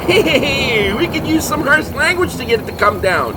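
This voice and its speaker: A man's voice: very high voice, very animated, normal speed, very noisy. A man playing an irritable, exasperated monster hunter who scolds his partners like a foul-mouthed know-it-all. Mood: irritated, skeptical.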